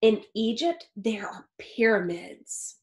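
A woman in her thirties reads a children's picture book in a slightly high voice. She phrases deliberately, as a teacher would for young listeners. The small room gives her voice a clear echo. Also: expressive. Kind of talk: expressive children's read-aloud. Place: small home office or study with wooden shelves. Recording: roomy, echoey indoor sound.

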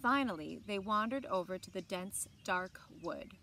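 A woman in her thirties reading a children's picture book aloud expressively, with deliberate phrasing and dramatic emphasis. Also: medium pitch, expressive, sharp tone. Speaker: library story time reader. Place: outdoors on a lawn by trees. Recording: outdoor background noise with birdsong. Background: outdoor ambience, birds.

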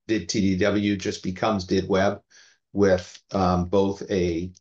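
A man giving an informal technical update on a video call in a measured, halting way. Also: low-pitched, little pitch movement, darkish tone. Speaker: meeting participant giving updates. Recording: dull video call audio.

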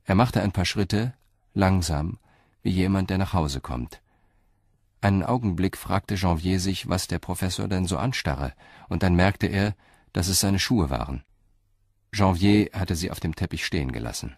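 A man narrating a crime story in a measured, sober and deliberate way. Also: sits low, a little mellow, no echo, clean studio sound.

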